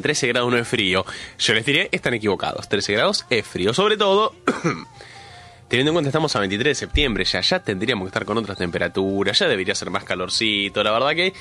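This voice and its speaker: Male radio host, Rioplatense accent, stuffy with a cold, chatty and animated, bright forceful voice. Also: mid-range voice. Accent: Rioplatense Spanish. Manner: chatty, animated, stretches words for emphasis. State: congested with a cold, coughing. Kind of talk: radio show opening monologue. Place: radio studio.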